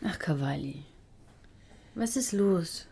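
Wounded, pleading female detective, low dark voice, measured and hushed, crime radio drama. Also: expressive, gravelly, breathy edge, full character voice. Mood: gentle pleading. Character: wounded, pleading woman detective, narrating her own story. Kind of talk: German crime radio drama, intimate narration and dialogue.

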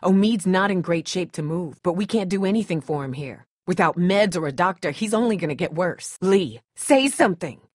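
A woman's voice: lowish voice, lively intonation, moderate pace, few pauses, dry recording, clean studio sound. A woman acting a tough, distrustful and protective survivor in a dramatic video game, blunt, sardonic and guarded. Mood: cold, accusing.

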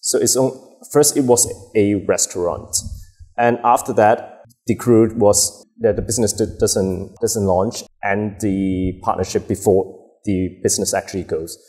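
Young male guest, lowish voice, halting, filler-heavy delivery, lapel mic. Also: steady tone, a little mellow. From Hong Kong. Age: 20s. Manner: hesitant, many fillers and restarts. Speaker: guest, bar co-owner. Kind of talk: casual interview answers. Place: dim bar set with a host.

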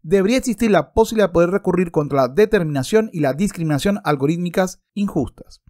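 A man's voice: high voice, lively intonation, fast talker, a little mellow. A man in his thirties speaking emphatically and argumentatively, as in an opinionated video commentary, close to a desktop microphone. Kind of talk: opinionated commentary with passages read aloud. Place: book-lined room at home, indoors. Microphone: desktop microphone close in front.

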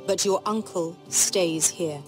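A woman playing a stern, regal young queen in a video game, speaking in a measured, commanding way over music. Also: on the low side. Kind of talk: dramatic video game voice acting.

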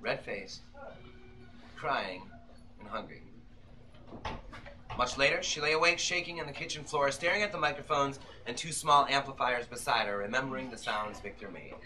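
A man's voice: medium pitch, moderate pace, pauses often, slight background noise. A man in his thirties giving an intense, theatrical live reading of a novel as its narrator. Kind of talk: dramatic narration, radio-play style live reading. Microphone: audience camera a few meters away. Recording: live recording with loud music over it. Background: loud violin accompaniment.

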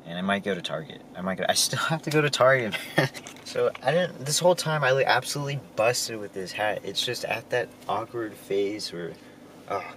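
A man's voice: fairly low pitch, animated, fairly quick, a bit bright. A young man talking casually to the camera in a vlog, laughing often, on a hiking trail, in his car and at home. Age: young adult. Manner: laid-back, laughs often, talks to camera. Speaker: vlogger. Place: outdoor hiking trail, then car and kitchen. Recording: noisy outdoor camera audio.

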